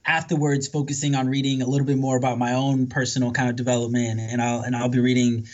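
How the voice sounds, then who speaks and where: A man born in the Dominican Republic, a podcast guest talking in a reflective, casual way, often tagging his points with "right?" and filling pauses with "um". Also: medium pitch, level tone, dull audio. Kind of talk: casual conversational interview.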